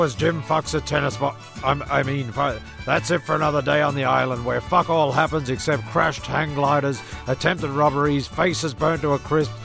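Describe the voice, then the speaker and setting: A man playing a bumbling, irritable storyteller in a comedy radio play, rambling along in a hesitant, deadpan way. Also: medium pitch, vocal fry. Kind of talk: rambling, sweary comic narration. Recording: music under the voice.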